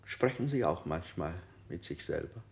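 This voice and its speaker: An actor playing a male interrogator in a radio play, slowly posing falsely sympathetic questions with a gravelly voice. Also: low voice, animated. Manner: slow, ingratiating questioning. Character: gently probing male interrogator, insidiously sympathetic.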